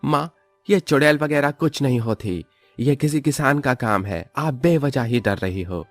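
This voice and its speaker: A man voicing an excitable, curious young village man who is easily panicked, in a moral horror cartoon story. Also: mid-range voice, measured pace, no echo, soft background music, pitched above the performer's own voice, natural voice. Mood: dismissive.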